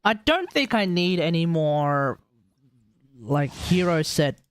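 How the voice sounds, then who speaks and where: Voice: mid-range voice, sing-song, takes it slowly, slight background noise. A streamer giving casual, rambling gameplay commentary on a livestream, thinking aloud while playing. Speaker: video game streamer. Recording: voice under loud game music. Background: loud video game music.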